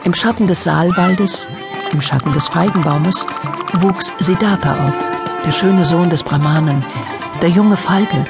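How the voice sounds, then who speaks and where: Creaky-voiced woman narrating over music. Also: fairly low pitch. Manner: solemn, lyrical, measured. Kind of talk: literary scripted narration of radio drama.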